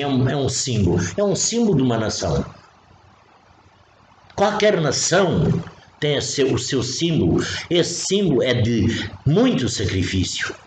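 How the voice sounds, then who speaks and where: Portuguese man, spiritual talk, repeats himself for emphasis; animated pitch, faint background noise. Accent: European Portuguese. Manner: repetitive, emphatic, with frequent restarts. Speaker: spiritual preacher. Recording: echoey room with faint noise.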